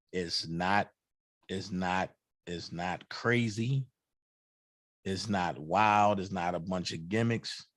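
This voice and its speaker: A hoarse man hosting a livestream, chatting casually and hyping up shout-outs to fellow channels. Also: sits low, no room sound, studio quality. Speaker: livestream host.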